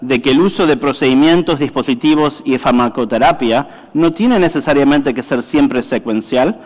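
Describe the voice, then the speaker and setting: Brief: male presenter, halting delivery, echoing lecture hall. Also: mid-range voice. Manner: halting, many fillers and self-corrections. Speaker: conference speaker, cardiologist. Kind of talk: medical conference talk. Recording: reverberant hall.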